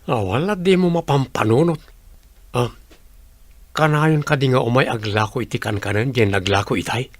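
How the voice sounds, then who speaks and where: Casting: actor as easygoing young male laborer, curious, medium pitch, close dry audio drama recording. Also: moderate pace, no room sound, close to an everyday voice. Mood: curious, admiring. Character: easygoing young construction worker, admiring and curious. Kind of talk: audio drama acting in casual dialogue.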